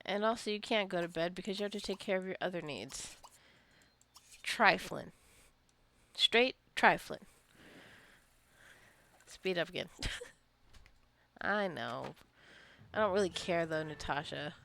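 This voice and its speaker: A woman's voice: on the low side, animated, unhurried, gravelly, pauses often, a bit bright. A woman casually narrating her own video game play, rambling into asides and playfully scolding the characters as she goes. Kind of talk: casual gameplay commentary. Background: life simulation game being played.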